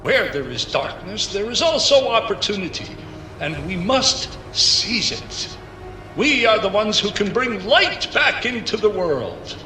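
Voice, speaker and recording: A man's voice: sits high, sing-song, measured pace, sharp tone, faint music behind, full character voice. A man playing a fervent orator in a video game, declaiming a rousing, resolute public speech. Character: fervent male orator promising hope and dignity. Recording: echoing large-space speech.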